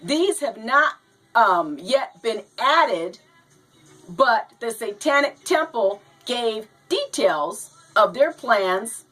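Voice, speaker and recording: Hoarse, slow-talking woman host. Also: fairly high pitch, expressive, fairly bright tone, faint music behind, rough recording. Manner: slow, halting, says "you know" and "now". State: hoarse from a cold. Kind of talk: reading and talking to viewers.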